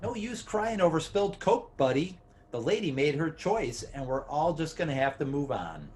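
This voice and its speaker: A man's voice: on the high side, big pitch swings, moderate pace, darkish tone. A man playing a smug, sneering club-owner villain in a script table read, with a mocking, theatrical, condescending delivery.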